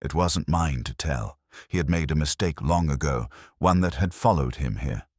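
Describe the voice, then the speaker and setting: A man with an extremely deep voice plays a secretive, grieving woman in a detective audio story. The confession is hesitant, fearful and subdued. The sound is close and dry. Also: moderate pace, no echo. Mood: guilty, defensive.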